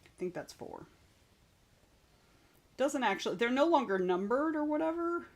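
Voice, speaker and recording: A woman's voice: high-pitched, very animated, normal speed. A young adult woman hosting a writing stream, chatting casually and reading out viewer comments, rambling and frank, with some swearing.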